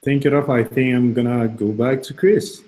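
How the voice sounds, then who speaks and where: A man from Ecuador, a PhD student, introducing himself and passing the turn on a video call, slightly halting. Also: mid-range voice, steady tone, a little mellow, rough recording. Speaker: PhD student and teaching assistant.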